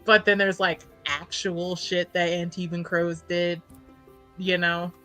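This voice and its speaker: A woman's voice: lowish voice, little pitch movement, takes it slowly, bright, forceful tone, faint music behind. A woman playing a tabletop roleplaying game, chatting in an informal, sweary way with the game master. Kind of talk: casual game-table chat.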